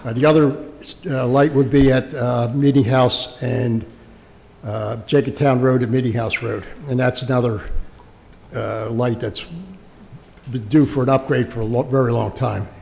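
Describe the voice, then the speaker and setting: American male, creaky-voiced local official giving a halting, unscripted presentation in a meeting hall with long reverb. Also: mid-range voice, steady tone, a little mellow, a little hiss or hum. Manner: halting, repeats words and phrases. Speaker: local government official presenting a project to a township board. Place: indoor township meeting room.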